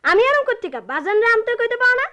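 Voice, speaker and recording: A woman's voice: extremely high pitch, very animated, very fast, bright, forceful tone, exaggerated voice. A woman playing a spoiled, petulant young boy with a Dhaka-area Bangladeshi accent, in a radio drama. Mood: petulant, defiant. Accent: Bangladeshi, Dhaka-area dialect.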